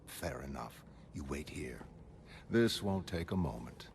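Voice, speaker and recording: A man performing a brilliant, self-assured mastermind in a motion comic, speaking in formal, measured, erudite monologue. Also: low-pitched, a little mellow, slight background noise, lightly acted. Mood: calm, courteous. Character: brilliant, aloof, self-assured male mastermind, soliloquizing to his pet.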